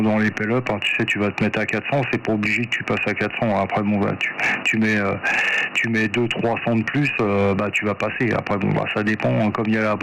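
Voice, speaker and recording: A man in a casual, rambling, colloquial chat as a radio operator on a two-way radio, often correcting himself. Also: lowish voice. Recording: noisy two-way radio audio, static.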